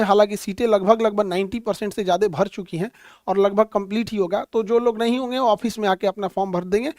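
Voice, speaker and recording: A man's voice: sits high, no room sound, clean studio sound. A man with a Bihari accent lecturing informally as a teacher, in a blunt, colloquial, mocking way with rhetorical questions. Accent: Bihari Hindi. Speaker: teacher and coaching instructor. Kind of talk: informal classroom lecture with comic asides.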